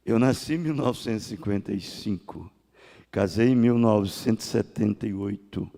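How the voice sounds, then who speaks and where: A man in his sixties with a Brazilian Portuguese accent, preaching a sermon as pastor, rising to loud cries and dropping to hushed tones. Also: on the low side, normal speed. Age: 60s. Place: church sanctuary. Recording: long church hall reverb.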